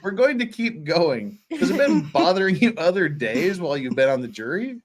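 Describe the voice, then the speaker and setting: A man hosting a casual comic read-through, a little halting, slipping between reading aloud and jokey asides. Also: sits high, big pitch swings, a crowd in the background. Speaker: host.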